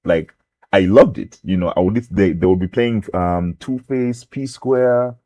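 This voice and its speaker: A man's voice: fairly low pitch, a little slow, dark tone. A young man from Zambia, a rapper guest on a talk show, rambling casually with lots of fillers and restarts.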